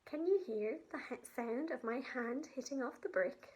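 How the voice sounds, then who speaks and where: A woman telling a story to young children, in simple phrases with pauses for her listeners. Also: sits high, heavy background noise.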